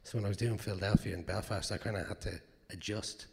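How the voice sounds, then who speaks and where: An Irish actor with a naturally hoarse voice, answering audience questions candidly and rambling, with fillers and swearing. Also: male voice, fairly low pitch, steady tone, quick pace, darkish tone. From Ireland. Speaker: actor guest. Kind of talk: live Q&A answers. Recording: long hall reverb.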